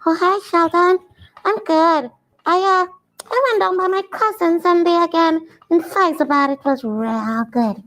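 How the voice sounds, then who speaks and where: A woman's voice: very high voice, unhurried, fairly bright tone, poor audio. A woman voicing Priya, an eager, caring Trinidadian schoolgirl in a puppet show, who talks earnestly and gasps at news. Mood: chatty. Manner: eager, gasping, earnest explaining.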